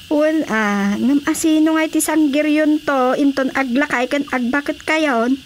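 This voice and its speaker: Frank, high-pitched Ilocano friend. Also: female voice, unhurried. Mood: chiding. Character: frank, advice-giving woman friend of a childless wife. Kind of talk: radio drama comedy acting. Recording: clearly noisy radio drama recording.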